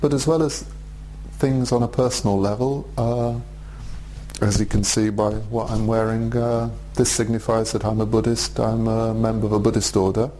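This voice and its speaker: Low, flat-voiced English man in his forties. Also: slight background noise, rough recording. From Russia, raised in England. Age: 40s. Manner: measured, thoughtful, many hesitations. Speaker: Buddhist teacher. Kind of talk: direct-to-camera video address.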